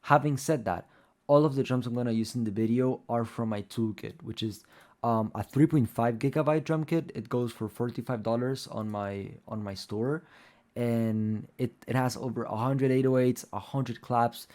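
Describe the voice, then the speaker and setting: A man's voice: medium pitch, fairly even intonation, moderate pace, a little mellow. Rambling young man, native Spanish speaker. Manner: rambling, loose, many fillers like "like" and "um". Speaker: music producer. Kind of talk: casual tutorial talk.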